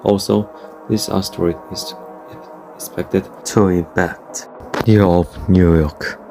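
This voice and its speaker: A low-pitched man delivers a scripted emergency alert as a broadcast announcer. His manner is formal and solemn, and prominent music plays under his voice. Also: steady tone, slow pace, vocal fry.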